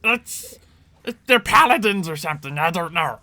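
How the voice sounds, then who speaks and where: Bossy goblin chief, played by a male game master: high-pitched, bright, impatient, slangy commands. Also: lively intonation, moderate pace, full character voice. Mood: unsure, dismissive.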